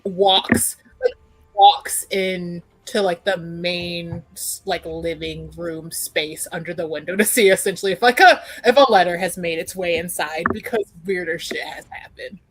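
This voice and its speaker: A woman's voice: on the low side, steady tone, a little slow. A woman improvising casual narration as a tabletop roleplay player, thinking aloud hesitantly.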